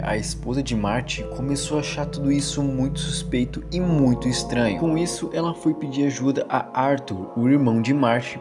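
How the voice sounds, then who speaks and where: A man with a Brazilian accent narrating a storytelling commentary on a horror series. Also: mid-range voice. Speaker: video essay narrator. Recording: music under the voice. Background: background music.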